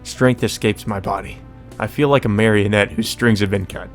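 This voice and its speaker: A man's voice: fairly low pitch, steady tone, moderate pace, faint music behind. A man reading a visual novel aloud as a let's play host, with informal commentary.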